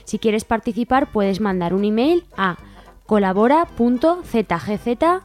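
A woman's voice: a little high, expressive, a little slow, darkish tone, soft background music. A woman from Spain, co-hosting a radio show and reading out event listings.

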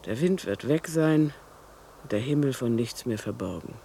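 A woman's voice: extremely low pitch, lively intonation, normal speed, warm tone, a little hiss or hum. An actress playing a placid, fatalistic, hard-of-hearing mother in a radio drama, musing and soothing in a repetitive way. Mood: soothing, resigned.

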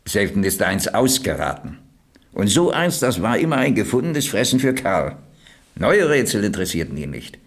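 An actor playing a plain-spoken boiler stoker who reminisces in a folksy, reflective way in a radio crime drama. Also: male voice, lowish voice, very animated, moderate pace. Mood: explaining, admiring. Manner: folksy, ruminative storytelling.

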